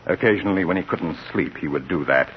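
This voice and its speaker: A man playing an earnest, secretive laboratory assistant in 1940s radio suspense style, speaking in a deliberate, formal way. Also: sits low, lightly acted. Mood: grave. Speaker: actor in a radio drama. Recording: muffled, noisy 1940s radio recording.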